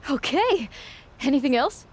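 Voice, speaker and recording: A woman's voice: high-pitched, lively intonation, a little slow. A woman playing a naive, eager young woman wrestler and devoted follower in a video game cutscene, speaking with starstruck earnestness. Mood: eager, trusting.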